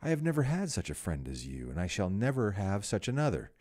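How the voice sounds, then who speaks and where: A man's voice: on the low side, wildly swooping pitch, fairly quick, a little mellow. A man voicing an earnest, devoted painter who pleads with a friend. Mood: pleading.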